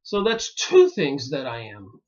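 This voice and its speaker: A man from Pennsylvania musing aloud in a rambling solo monologue, pausing often and filling gaps with "uh". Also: mid-range voice, huge pitch swings. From Pennsylvania, United States. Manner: slow and musing, many pauses and fillers. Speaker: video commentator. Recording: dull lo-fi recording.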